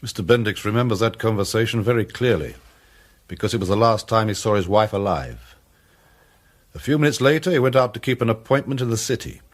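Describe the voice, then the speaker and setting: Actor as low-voiced, composed English detective. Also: male voice, natural voice. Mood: measured, recounting. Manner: measured, formal, courteous. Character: composed English amateur detective addressing his circle. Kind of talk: British period drama narration.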